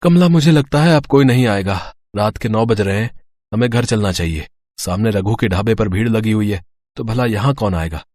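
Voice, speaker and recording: A man's voice: fairly low pitch, moderate pace, a little mellow. A man voicing a poor, worried roadside eatery owner in a storybook drama. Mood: weary. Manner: worried, weary. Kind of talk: storybook voice acting.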